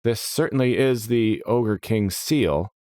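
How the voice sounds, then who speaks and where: A man's voice: lowish voice, slow pace, darkish tone, no echo, studio quality, pitched above the performer's own voice. A man voicing a stern, officious steward of a lord's court, formal and commanding, in a fantasy story. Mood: grave, stern.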